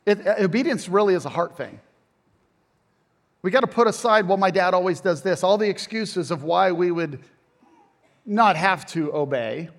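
A man's voice: high-pitched, animated, moderate pace, long pauses. A middle-aged man preaching a conversational sermon, casual and self-deprecating with joking asides.

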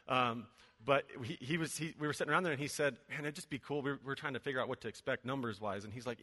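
A man's voice: medium pitch, sharp tone. A man in his forties preaching a casual, joking church sermon full of fillers, heard in a large church auditorium. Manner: chatty and joking, many fillers. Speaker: venue director and preacher. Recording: long hall reverb.

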